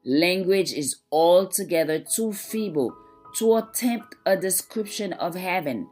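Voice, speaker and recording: A woman reads a scripted devotional in a fairly low voice. Her delivery is slow, measured and reverent, with faint music behind her. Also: expressive, darkish tone. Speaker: devotional reader. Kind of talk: devotional reading of scripted religious text.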